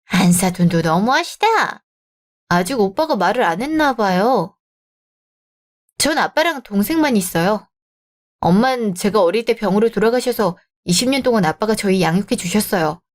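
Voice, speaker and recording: A woman's voice: medium pitch, normal speed, close to an everyday voice. Woman as young bride-to-be, composed, firm, proud, radio drama. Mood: polite. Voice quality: calm, firm.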